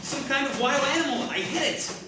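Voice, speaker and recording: Guy doing a jumpy space gunner in a radio play, high lively voice, noisy and echoey. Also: fairly quick. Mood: panicky. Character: jittery, obedient male spaceship gunner. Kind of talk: old-time sci-fi radio drama acting. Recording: very echoey, distant, clearly noisy.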